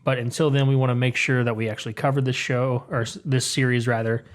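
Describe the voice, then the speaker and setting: A man's voice: medium pitch, level tone, fast talker. A young adult man co-hosting a comic book podcast, chatty and upbeat, laughing often in casual talk. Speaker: podcast co-host. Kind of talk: casual chat.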